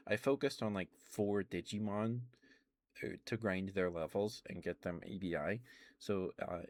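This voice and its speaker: A man chatting quietly about video games for an ASMR stream, hesitant and rambling with many pauses and soft laughs. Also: deep voice. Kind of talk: rambling ASMR game chat.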